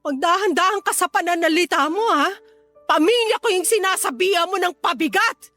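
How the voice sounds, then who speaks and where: An ailing, indignant Filipino mother in a radio drama. Her voice is extremely high, pleading and full of reproach. Soft music plays faintly underneath. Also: fairly quick. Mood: indignant, angry. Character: hurt, indignant ailing wife and mother, middle-aged. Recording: faint music bed behind voice.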